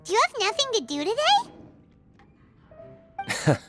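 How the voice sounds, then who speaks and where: Squeaky tiny sprite girl, excitable, very high, bouncy pitch, music behind. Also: unhurried, full character voice. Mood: excited. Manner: chirpy, refers to herself in third person. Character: tiny excitable floating female companion sprite. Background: background music.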